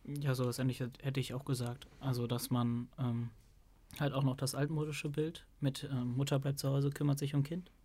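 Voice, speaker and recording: A man taking part in a classroom discussion, calmly explaining his view. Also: mid-range voice, moderate pace. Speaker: discussion participant.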